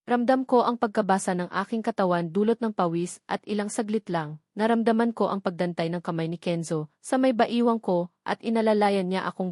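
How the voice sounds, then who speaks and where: A woman's voice: mid-range voice, darkish tone, no echo, clean studio sound. A woman reading scripted first-person narration from a romance novel. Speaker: audiobook narrator.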